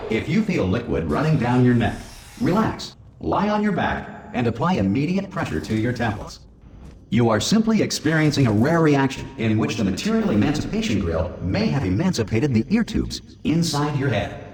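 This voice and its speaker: An actor voicing a cheerful, formal pre-recorded facility announcer who delivers dark warnings deadpan. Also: male voice, medium pitch, moderate pace, natural voice. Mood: chipper. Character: calm male pre-recorded facility announcer, chipper, corporate. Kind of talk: video game voice acting. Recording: echoey PA announcement with music.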